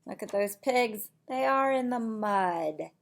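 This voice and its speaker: A middle-aged woman reads a picture book aloud like a preschool teacher. Her pace is slow and sing-song, with a creaky edge to the voice. She adds simple asides for little listeners. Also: fairly high pitch, lively intonation. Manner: deliberate, sing-song reading with simple asides and counting questions. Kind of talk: picture-book read-aloud for young children. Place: small indoor room at home.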